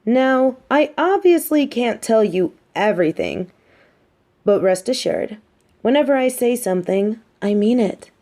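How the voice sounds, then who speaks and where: A woman's voice: fairly high pitch, animated, unhurried, warm tone. A woman playing a smug, mean but sympathetic supervillain captor in an audio roleplay, talking in a taunting, theatrical, conversational way. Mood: indignant, firm. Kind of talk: roleplay audio drama monologue.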